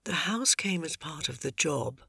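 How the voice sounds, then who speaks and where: A woman acting a gentle, protective older former nanny in an audio drama. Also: sits low, animated, unhurried, gravelly, husky, no room sound, exaggerated voice. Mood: calm, protective.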